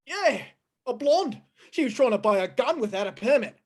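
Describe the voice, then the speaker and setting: Lady doing a gruff cop sergeant, raspy and animated, bossy old radio play slang. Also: a little high, moderate pace. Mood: brisk, puzzled. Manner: barking, slangy, commanding. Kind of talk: 1950s radio play acting.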